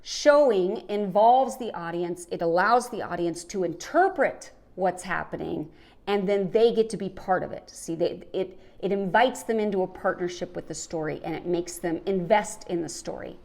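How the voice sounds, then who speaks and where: A woman's voice: fairly low pitch, normal speed, gravelly, darkish tone. A woman hosting a podcast, giving an emphatic lesson on storytelling. She asks questions and works through examples. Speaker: podcast host and writing teacher.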